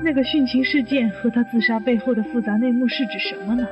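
An actress playing a suspicious middle-aged aunt who questions and reasons step by step in a Chinese audio detective drama. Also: female voice, on the high side, quick pace, music in the background, lightly acted. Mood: curious. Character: inquisitive, suspicious middle-aged aunt probing a supposed suicide. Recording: muffled, long hall reverb.

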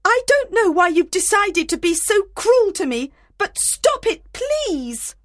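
Storybook part: offended young woman, male reader, extremely high pitch, lively swings, scolding and suspicious. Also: a little slow, no echo, clean studio sound, heavily acted. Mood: exasperated. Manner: scolding, emphatic. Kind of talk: dramatized storybook reading.

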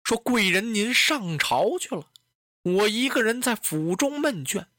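A storyteller acts out a distressed young woman, a cunning concubine in a historical tale. She pleads tearfully and indignantly in a bright voice that swings expressively. The sound is close and dry. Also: mid-range voice, lively intonation, measured pace, no room sound. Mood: tearful pleading.

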